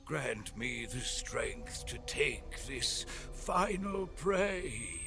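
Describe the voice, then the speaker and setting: An actor playing an ancient, weary old sniper in a video game, speaking in a solemn, labored, pleading way. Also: male voice, mid-range voice, sing-song, creaky, full character voice. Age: elderly. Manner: solemn, labored, drawn-out delivery. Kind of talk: dramatic video game acting. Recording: loud music behind.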